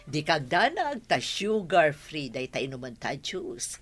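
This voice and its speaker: A woman's voice: deep voice, sing-song, a little slow, fairly bright tone, breathy edge, faint music behind, exaggerated voice. A woman playing a good-humored middle-aged mother and shopkeeper in an Ilocano radio drama. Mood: jolly, chuckling.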